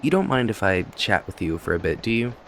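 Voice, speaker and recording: A kindly, talkative cafe owner acted by a man with a fairly low voice, in an intimate audio roleplay. He chats in a friendly, rambling way, often hesitant and quick to apologise. Steady rain noise sits behind his voice. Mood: chatty, upbeat. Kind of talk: ASMR roleplay, conversational acting to the listener. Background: rain and cafe ambience.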